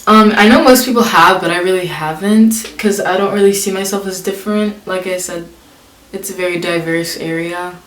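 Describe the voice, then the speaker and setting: A woman's voice: fairly low pitch, moderate pace, a bit bright, slight background noise. Chatty young woman in an echoey room. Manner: chatty, many "like" fillers, reads questions aloud. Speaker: vlogger. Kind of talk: casual tag video answering questions.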